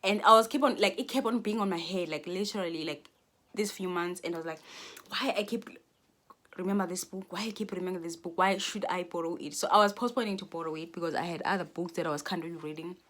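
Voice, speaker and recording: A woman's voice: on the low side, quick pace, fairly bright tone. A Xhosa-speaking South African woman in her twenties, talking to camera in a rambling, earnest monologue full of restarts. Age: 20s. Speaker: vlogger.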